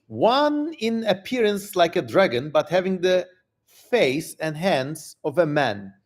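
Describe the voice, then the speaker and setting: A man's voice: high-pitched, very animated, slow pace, pitched above the performer's own voice, full character voice. A man voicing an ancient king who recounts and questions demons, in a theatrical, declamatory dramatic reading. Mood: dramatic, astonished. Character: ancient king narrating, commanding and astonished by demons.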